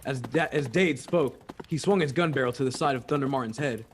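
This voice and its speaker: A man's voice: medium pitch, fairly quick, slight background noise, close to an everyday voice. A man narrating an old-time western radio drama in a dramatic, scripted storytelling style.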